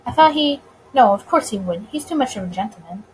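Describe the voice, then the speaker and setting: High-voiced woman reading a story, noisy recording. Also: expressive, brisk. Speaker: visual novel let's player. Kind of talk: expressive story reading with reactions.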